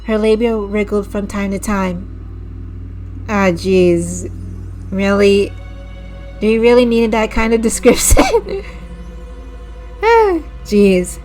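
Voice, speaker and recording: A woman streamer reading a visual novel aloud with a slightly raspy voice, restarting over stumbled words, clearing her throat often and breaking off into disgusted asides to her chat. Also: mid-range voice, lively intonation, slow, pauses often, a little mellow. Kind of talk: slow dramatic read-aloud with casual asides to chat. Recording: music playing clearly behind the voice.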